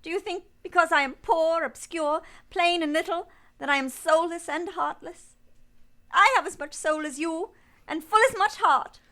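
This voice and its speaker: A woman's voice: extremely high pitch, moderate pace, bright, forceful tone. A woman playing an earnest, proud young governess in love, speaking formal literary lines in a radio play over music. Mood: impassioned, defiant. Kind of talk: radio play acting with first-person narration. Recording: music behind the voice.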